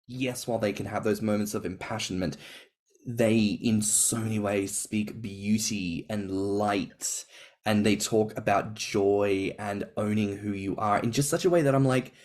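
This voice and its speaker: A man's voice: on the low side, fairly even intonation, unhurried, a little mellow. A man in a casual podcast chat, talking expressively with big swings and emphatic stresses. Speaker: podcast co-host or guest.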